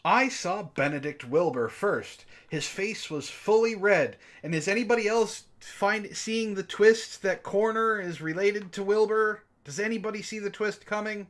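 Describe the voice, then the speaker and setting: A man's voice: sits high, a little slow, few pauses, a bit bright. A man reading a story aloud and bantering casually, with an exasperated, profane, mocking manner. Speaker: book club host and reader.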